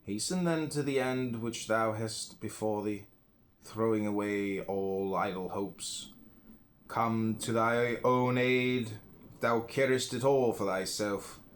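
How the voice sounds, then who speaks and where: A man reading an old philosophy book aloud in measured phrases, pausing between them. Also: lowish voice, a little hiss or hum. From United States. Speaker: audiobook reader.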